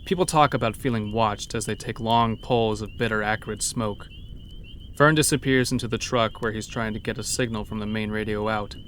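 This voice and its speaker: A man's voice: fairly low pitch, fairly even intonation, normal speed, a bit bright, slight background noise. A man narrating a scripted first-person horror story in a dramatic storytelling manner. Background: background music.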